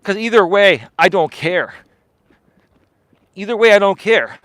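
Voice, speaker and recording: A man with a fairly high voice rants to his live-stream audience, blunt and argumentative, and keeps qualifying his points. The sound is dry and close, with no room echo, captured on a mobile mic while he walks outdoors. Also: animated, a little slow, fairly bright tone. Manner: blunt, argumentative, repeats "in my opinion". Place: outdoors on a walk.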